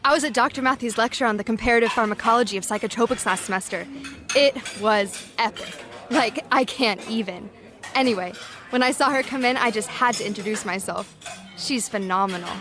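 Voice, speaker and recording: A woman plays a young former student in an audio drama. She is eager and gushing, with a slangy delivery and a fairly high voice. Music plays clearly behind. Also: a little fast, close to an everyday voice. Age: young adult.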